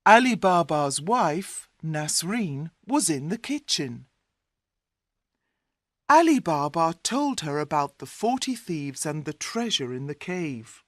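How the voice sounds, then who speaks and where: A woman tells a fairy tale for learners in scripted narration. She goes very slowly in careful phrases, with lively intonation, recorded close and dry. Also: low voice, no room sound. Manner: deliberate, carefully separated phrases. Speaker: storyteller.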